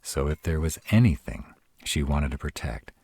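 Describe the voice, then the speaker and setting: A man playing a protective, caring were-dragon with dry humor in an intimate one-sided roleplay audio drama, speaking in a casual, measured way. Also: expressive, warm tone, exaggerated voice. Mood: thoughtful, reassuring. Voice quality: very deep, husky. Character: gruff, protective male were-dragon, caring, dryly sardonic.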